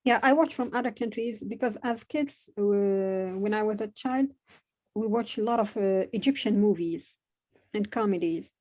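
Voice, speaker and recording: Hesitant Moroccan woman, mellow voice. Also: mid-range voice. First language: Moroccan Arabic. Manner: hesitant, many pauses and "uh" fillers. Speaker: interviewee. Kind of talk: conversational interview.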